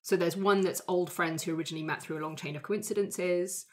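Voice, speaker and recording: A woman with a fairly low voice talks in a casual group discussion. She sounds like a tabletop player chatting through ideas with the others. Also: steady tone, fast, a little mellow.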